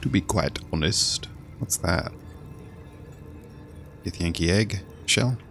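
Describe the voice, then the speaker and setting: A man's voice: deep voice, takes it slowly, creaky, a bit bright, soft background music. A man giving casual live gameplay commentary as a streamer, rambling and thinking aloud. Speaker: game streamer.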